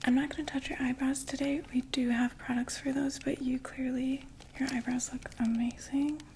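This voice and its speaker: A woman whispering a gentle, soothing ASMR roleplay as a friendly makeup saleswoman, often repeating words softly. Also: sits high, flat delivery, moderate pace, slight background noise. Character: friendly female vampire door-to-door makeup saleswoman.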